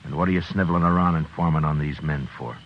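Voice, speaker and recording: An actor playing a stern, terse frontier US marshal who narrates and talks gruffly, in 1950s radio drama. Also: male voice, a bass voice, fast talker, muffled recording, a little hiss or hum. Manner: curt, gruff, commanding. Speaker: narrator and lead.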